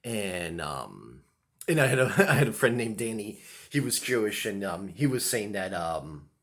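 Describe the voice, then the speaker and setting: A man's voice: lowish voice, big pitch swings, unhurried, a bit bright. A man, talking to the camera in a loose, rambling monologue, full of ums and restarts. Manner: hesitant, many ums and restarts. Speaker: vlogger.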